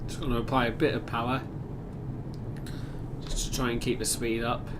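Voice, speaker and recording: A man talks through his gameplay with a stuffy voice from a lingering cold. His delivery is halting and full of ums and uhs, and he stays flat with little rise or fall. A loud rumble of train cab and air conditioning noise fills the background. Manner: hesitant, many fillers and restarts. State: recovering from a cold, congested. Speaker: gaming video host. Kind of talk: casual gameplay commentary.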